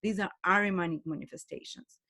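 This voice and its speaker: A woman's voice: on the low side, fairly quick, pushed and bright. Earnest woman lecturing with restarts. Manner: earnest, with frequent restarts and hesitations. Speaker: spiritual teacher. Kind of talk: spoken monologue lecture.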